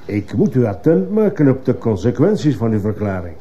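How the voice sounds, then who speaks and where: Man acting a stern judge, formal, authoritative, dark timbre, dull old radio-play sound. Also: medium pitch, very animated, a little fast, close to an everyday voice. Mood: grave, official. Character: stern, formal male presiding court judge. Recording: muffled old radio play, faint noise.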